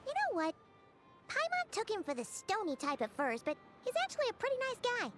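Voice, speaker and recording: A woman voicing a small, bubbly childlike fairy companion in a video game, with a squeaky, perky delivery. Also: huge pitch swings, brisk, loud music behind the voice, exaggerated voice. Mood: cheerful, musing. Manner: perky, refers to self by name.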